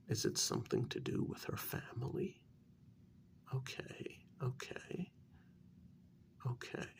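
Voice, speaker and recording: A man playing a patient but exasperated vampire official in a soft-spoken ASMR role-play, halting and coaxing, with a breathy voice that often nears a whisper. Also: medium pitch, exaggerated voice. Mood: frank, puzzled. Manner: halting, coaxing, many pauses.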